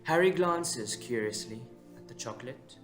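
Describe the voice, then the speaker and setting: A man's voice: mid-range voice, expressive, moderate pace, fairly bright tone. A man narrating a scripted audio drama in a dramatic storytelling style. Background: instrumental music.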